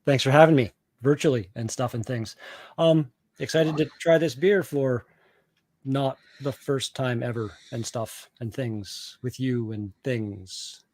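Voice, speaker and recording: Give me a slow, rambling man in a recorded greeting. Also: medium pitch, a little mellow, no echo. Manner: rambling, filler-heavy, slow. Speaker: guest sending a pre-recorded message.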